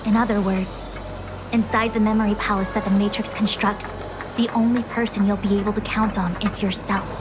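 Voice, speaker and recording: Female diviner master for a game, played by a woman: measured, formal, authoritative, mid-pitched, prominent music underneath. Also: flat delivery, lightly acted. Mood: cautioning, calm. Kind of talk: video game voice acting.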